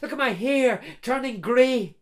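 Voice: high voice, unhurried, pitched above the performer's own voice. A storyteller voicing an aging, grey, scarred blacksmith who stammers and repeats words as he pleads with his lost love. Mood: astonished.

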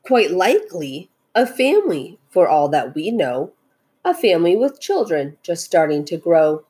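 A woman voicing a gentle, protective male elephant from a storybook, sincere and pleading in manner. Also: fairly low pitch, sing-song, unhurried, husky, heavily acted. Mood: earnest.

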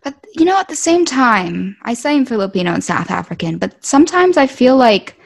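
A woman's voice: fairly high pitch, very animated, fairly quick, vocal fry. A young woman who grew up in Malaysia, chatting casually as a guest in a discussion. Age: young adult. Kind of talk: casual chat.

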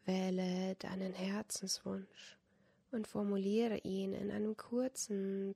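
A woman softly guiding a yoga nidra meditation in a breathy, whispery voice, calm and unhurried, with long pauses. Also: medium pitch. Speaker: yoga nidra guide, podcast host. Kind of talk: guided meditation, soft instruction.

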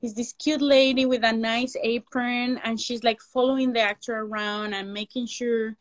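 A woman from Mexico City with a Mexican Spanish accent, Spanish her first language, speaking English. She chats loosely as a guest, piling on "like" and laughing easily. Her voice sits fairly high, and the sound is close and dry with no room around it. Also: fairly even intonation, normal speed, no echo. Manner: rambling, frequent "like" fillers, non-native grammar, laughs often. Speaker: guest, makeup and prosthetic artist. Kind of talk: casual interview.

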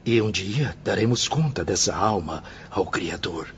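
A Brazilian actor playing a humbled former bohemian, earnest and formal, in a declamatory radio drama style. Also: male voice, sits low, expressive, unhurried. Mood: wistful, regretful. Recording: dull radio recording with faint noise.

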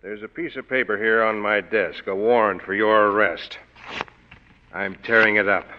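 An actor playing a gruff, curt male police lieutenant with clipped speech, in a 1940s radio play on a dull old broadcast. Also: fairly low pitch, fairly even intonation, moderate pace, slight background noise, lightly acted.

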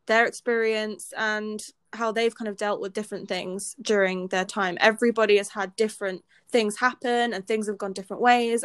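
A woman's voice: on the high side, dry recording. A young woman co-hosting a podcast, talking in a friendly, rambling way. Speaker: podcast co-host. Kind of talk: casual chat.

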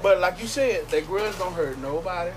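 A man's voice: high-pitched, normal speed, a loud crowd. A man talking in a casual group chat, lecturing and asking for agreement. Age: older than the others. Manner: preachy, repeats "you hear me?". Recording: noisy background.

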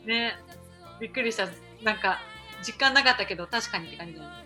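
A woman's voice: on the high side, quick pace, bright, forceful tone, soft background music. A woman co-hosting a radio-style podcast, chatting casually and reading out show announcements.

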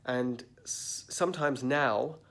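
A man with a slightly blocked nose hosting a motivational podcast, talking in an earnest, slow and deliberate way and stressing his words. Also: mid-range voice, a bit bright. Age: adult, a parent. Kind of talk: reflective motivational monologue. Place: sitting indoors at dawn.

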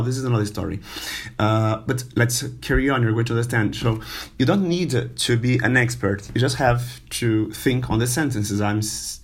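A man who is not a native English speaker giving an informal language lesson, halting and full of fillers, repeating words for the learner. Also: fairly low pitch. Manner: slow, halting, many fillers and repetitions. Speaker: language teacher.

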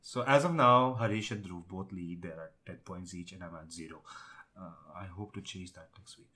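Male podcast host, Indian accent, lower register, loose and sweary tech chat. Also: moderate pace, bright, forceful tone. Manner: informal, sweary.

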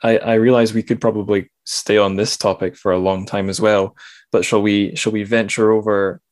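A man's voice: lowish voice, little pitch movement, normal speed, no echo, clean studio sound. A man hosting a podcast, discussing books casually in informal, self-interrupting phrases. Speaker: podcast host.

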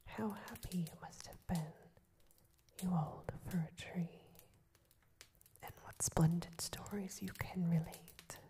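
A woman's voice: lowish voice, steady tone, takes it slowly. A woman whispering the lines of eager, curious little mice in a storybook reading.

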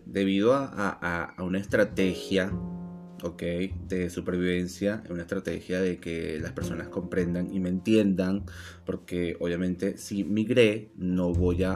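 A man with a Venezuelan accent, touched by an Argentine lilt, co-hosting a casual podcast and telling stories that act out quoted dialogue. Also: low-pitched. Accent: Venezuelan Spanish, partly Argentine-tinged. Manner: lively storyteller, acts out quoted dialogue. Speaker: podcast co-host.

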